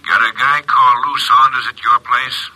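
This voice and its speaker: An actor playing a blunt, demanding police inspector speaking over the telephone in a classic radio drama. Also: male voice, medium pitch, fairly even intonation, moderate pace, low-quality recording. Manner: curt, forceful, slangy.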